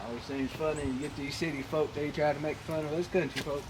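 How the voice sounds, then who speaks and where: A man's voice: a little high, flat delivery, quick pace. A man from Arkansas and Oklahoma chatting casually and teasing his friend while they pull an engine in a garage. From Arkansas and Oklahoma, USA. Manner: teasing, joking country talk. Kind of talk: casual chat while working. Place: home garage. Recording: loud shop noise.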